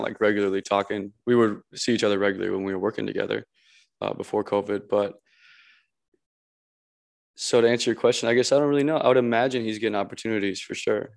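A man's voice: on the low side, creaky. A young man hosting a podcast, talking casually and hesitantly with many fillers. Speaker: podcast host. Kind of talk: casual conversation.

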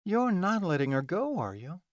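A man's voice: sits high, big pitch swings, normal speed, no echo, clean studio sound, voice pushed up. A man voicing a defiant, sarcastic young heroine in an audiobook, challenging whoever she talks to. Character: feisty, defiant mortal woman in love.

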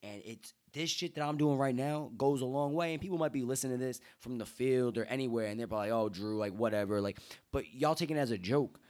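An earnest young man in casual conversation. He talks as a guest, and his mid-range voice climbs into loud, excited stretches when he gets fired up. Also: mid-range voice, fast. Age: young adult.

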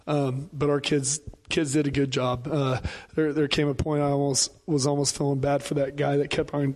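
Hoarse football coach, halting and flat. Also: male voice, on the high side. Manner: halting, many fillers and restarts. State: hoarse, lost voice. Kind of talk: pregame radio interview.

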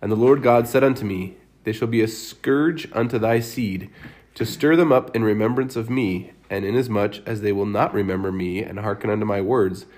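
A man with a fairly low voice reads scripture aloud at home. His delivery is even and measured, and he keeps a plain reading tone throughout.

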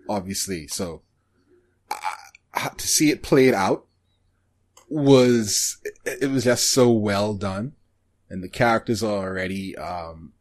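A man co-hosting a casual podcast about anime, speaking hesitantly and often trailing off. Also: fairly low pitch. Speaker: podcast co-host.

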